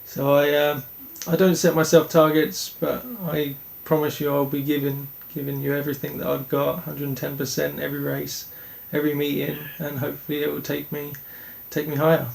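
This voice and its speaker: Flat, gravelly-voiced young man. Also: a little high. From Denmark. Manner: earnest, halting, many restarts. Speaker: speedway rider. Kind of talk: interview answers.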